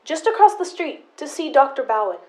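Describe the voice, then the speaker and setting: A young woman acts as a stubborn, protective sister in a radio play. Her fairly high voice is deliberate and dramatic, carrying long-held resentment. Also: big pitch swings, dark tone. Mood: urgent, pleading. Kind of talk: high school audio drama acting.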